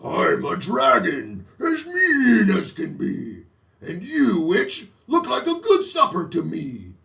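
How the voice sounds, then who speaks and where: A performer's slow, menacing hungry dragon. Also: medium pitch, huge pitch swings, vocal fry, fairly bright tone, recorded from a distance, voice pushed up, heavily acted. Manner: drawn-out, menacing delivery.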